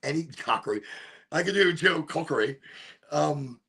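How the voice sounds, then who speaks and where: A man with a deep, gravelly voice, rambling through a casual monologue as host of a smoke session and wandering from one topic to the next. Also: expressive, moderate pace, sharp tone. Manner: rambling, wanders off topic, breaks into off-key singing.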